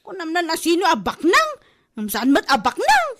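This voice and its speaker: A woman acts a shameless, lazy Filipino debtor with a very high voice and lively swoops. The character whines and gossips as an aggrieved party in a comedy drama. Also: normal speed, heavily acted. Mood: indignant griping. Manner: whining, complaining, gossipy. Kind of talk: comedy radio-drama acting.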